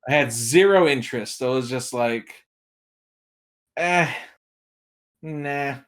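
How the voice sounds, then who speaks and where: A man's voice: mid-range voice, slow pace, fairly bright tone. A man talking casually as a podcast guest, giving film opinions and acting out imagined reactions as he tells it. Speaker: podcast guest, movie critic.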